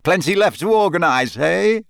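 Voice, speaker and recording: A boyishly enthusiastic wizard fellow from a storybook, acted by a man. He is breezy, comfortable and chummy, with a lively, rising and falling pitch. The recording is dry and close. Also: moderate pace, gravelly, no room sound. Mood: excited, dismissive. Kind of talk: audiobook character voice.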